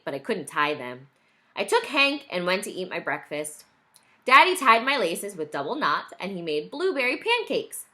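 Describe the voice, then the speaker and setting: A woman's voice: mid-range voice, sharp tone. A woman teacher reading a picture book aloud to young children, explaining and asking questions along the way.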